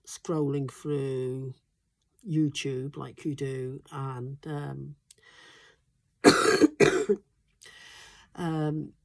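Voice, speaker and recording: A woman's voice: low voice, darkish tone. A woman vlogging from her smallholding, congested and recovering from flu, chatting in a rambling way with frequent ums and restarts. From Wales. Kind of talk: casual chatty monologue.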